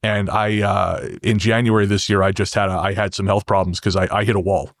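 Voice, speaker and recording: Low-voiced man in a dry recording. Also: flat delivery, fairly quick, dry recording. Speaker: podcast guest, horror fiction writer. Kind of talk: conversational interview talk.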